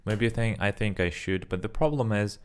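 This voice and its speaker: Non-native English-speaking young man, deep clear voice, loose casual vlog chat full of fillers and restarts. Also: fairly quick. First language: not English. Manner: rambling, self-correcting, frequent fillers. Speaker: vlogger. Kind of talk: casual spoken practice vlog.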